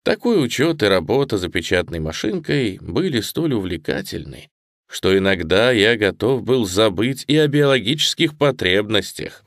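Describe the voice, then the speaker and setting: Deep-voiced man narrating an audiobook. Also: no room sound, clean studio sound. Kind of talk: scripted first-person narration.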